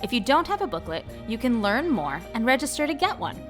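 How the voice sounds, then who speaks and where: Lively, higher-pitched woman announcer. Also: brisk, music in the background. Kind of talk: scripted intro for children's audio drama.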